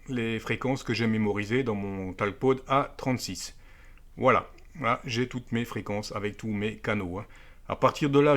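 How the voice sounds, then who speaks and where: Guy, lowish voice, casual hands-on demo, explains stuff step by step. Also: fairly bright tone. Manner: explanatory, step by step, with frequent tag words. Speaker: amateur radio video presenter. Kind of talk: casual hands-on product demonstration.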